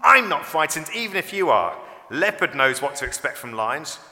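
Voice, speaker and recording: A man's voice: big pitch swings, moderate pace, bright, forceful tone, full character voice. A man voicing a boastful young monkey who plays at being a lion in a children's storybook, bragging and showy. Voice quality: roaring, turning squeaky when scared. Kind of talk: children's storybook read aloud. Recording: echoey hall with long reverb.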